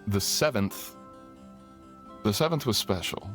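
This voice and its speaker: A man's voice: lowish voice, expressive, slow. A man playing a courtly, teasing, tender dragon king in human form, speaking intimately as a lover in an ASMR roleplay. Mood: candid, wry. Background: music.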